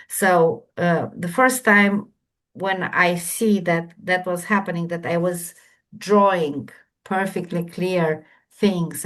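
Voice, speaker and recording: A woman with a Romanian accent, a non-native English speaker, answering questions on a panel in halting speech with many repeated words. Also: fairly low pitch. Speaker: remote viewer panelist. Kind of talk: casual Q&A discussion.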